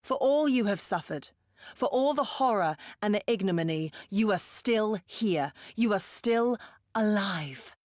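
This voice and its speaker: An actress playing a proud, resolute retainer of a lord, speaking formally and earnestly in a video game cutscene. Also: female voice, medium pitch, expressive, unhurried, a little mellow, dry recording, clean studio sound, close to an everyday voice. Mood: solemn, resolute. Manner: formal, archaic, earnest diction.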